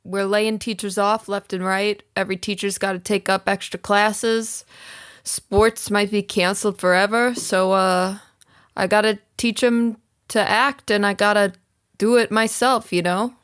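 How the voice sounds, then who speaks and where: A woman's voice: mid-range voice, fairly even intonation, measured pace, fairly bright tone, clear, resonant tone, lightly acted. A woman playing a plainspoken, gung-ho veteran school coach in improvised comedy, folksy and emphatic. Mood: folksy, determined. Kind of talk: improvised comedy podcast role-play.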